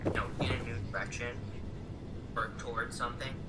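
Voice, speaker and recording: A woman with a hoarse, worn-out voice giving a casual, rambling tarot reading, full of fillers and restarts. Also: extremely low pitch, steady tone, fairly quick, far from the mic, very noisy. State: losing her voice, hoarse. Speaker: tarot reader. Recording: roomy, off-mic, loud music behind.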